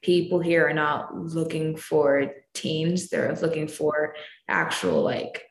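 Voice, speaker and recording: Slow, low-voiced teen girl who stutters. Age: teens. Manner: stutters, speaks openly and deliberately. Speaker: podcast guest. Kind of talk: casual chat. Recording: roomy with faint noise.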